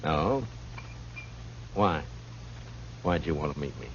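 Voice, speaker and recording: An actor playing a blunt, world-weary American frontier marshal with dry humor in a 1950s radio western. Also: male voice, a bass voice, expressive, slow pace, exaggerated voice. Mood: guarded, low-key. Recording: muffled, noisy early 1950s radio recording.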